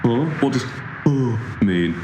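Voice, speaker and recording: A man's voice: medium pitch, lively intonation, slow pace. A young man acting a cynical, sardonic, aimless younger brother in a naturalistic audio drama, with a dry, wordy wit. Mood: defensive. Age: young adult. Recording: echoey room, faint background noise.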